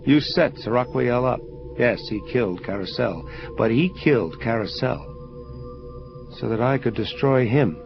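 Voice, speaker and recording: A man playing a solemn, troubled angel of vengeance in an audio drama, speaking in formal, measured phrases. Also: mid-range voice, animated, vocal fry. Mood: accusing. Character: grave, troubled male angel of vengeance confronting his creator. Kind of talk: dramatic audio play dialogue. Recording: dull lo-fi audio under music. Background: prominent atmospheric music.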